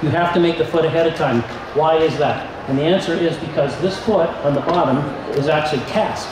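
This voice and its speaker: An older man giving a relaxed live glass-craft demonstration, pausing with fillers as he handles pieces. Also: on the high side, noisy background. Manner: relaxed explaining, many fillers, handling pieces while talking. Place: large convention hall room. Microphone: far camera. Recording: echoey hall, voice from far off.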